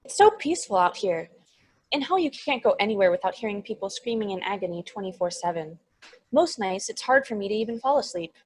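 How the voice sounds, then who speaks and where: A woman's voice: medium pitch, animated, brisk, husky. A woman playing a childlike, naive and eager demon in a comedy table read, with an earnest, innocent manner. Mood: wistful. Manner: innocent, earnest, sweetly matter-of-fact.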